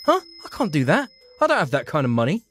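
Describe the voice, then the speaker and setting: A man's voice: a little high, huge pitch swings, fast, fairly bright tone, faint music behind, voice pushed up, full character voice. A man voicing a stubborn, selfish young brother in a whiny, petulant way, for a manga story. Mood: defensive.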